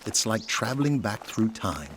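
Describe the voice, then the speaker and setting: A man's voice: on the low side, big pitch swings, moderate pace, a bit bright, a little hiss or hum. A man reading scripted dramatic narration for an audio drama in a descriptive storytelling manner.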